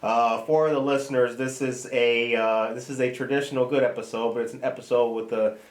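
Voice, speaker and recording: A man hosting a morning podcast, reading community announcements and interviewing a guest in a lively, friendly way. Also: mid-range voice, fairly quick. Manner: lively, friendly, self-correcting with a laugh. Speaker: podcast host.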